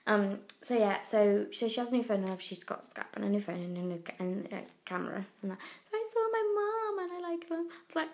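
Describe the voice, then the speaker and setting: A young woman from the UK with a croaky voice, rambling through a casual video diary with lots of fillers. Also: medium pitch, expressive, a little fast, a little mellow. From United Kingdom. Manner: rambling, chatty, many fillers and trailing asides. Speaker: vlogger. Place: indoors, abroad in Italy. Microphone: handheld phone camera.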